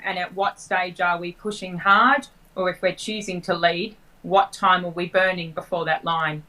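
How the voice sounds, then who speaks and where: A woman guest in a casual chat about sailing, friendly and relaxed. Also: on the low side, low-quality recording. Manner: friendly, relaxed, thinks aloud.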